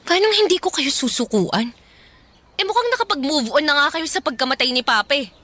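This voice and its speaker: An actress playing a bitter, grieving young Filipina older sister in a radio drama, sarcastic and confrontational. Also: female voice, sits high, animated, fairly quick, pushed and bright. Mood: bitter, hurt.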